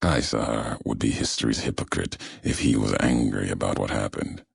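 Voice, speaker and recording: An extremely deep, gravelly brooding wanderer. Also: male voice, heavy reverb, heavily acted. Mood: cold, judging. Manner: cryptic, deliberate, clipped fragmentary phrases. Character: grim, brooding wasteland wanderer, solemn and judging. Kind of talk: video game character dialogue.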